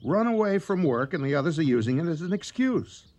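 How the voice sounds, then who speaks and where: Blustering engineer with wildly swinging pitch. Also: male voice, sing-song, fairly quick, darkish tone, muffled recording. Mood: angry, scornful. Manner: agitated, emphatic, insistent. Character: stubborn, blustering colonial railroad engineer, quick to anger. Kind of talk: radio drama acting.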